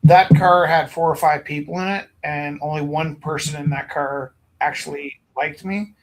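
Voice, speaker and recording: Guy, pretty high voice, rambly storyteller, acts out what people said. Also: moderate pace, low-quality recording. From Alberta, Canada. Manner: rambling, animated anecdotes with acted quotes. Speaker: art gallery owner and teacher. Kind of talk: casual storytelling chat.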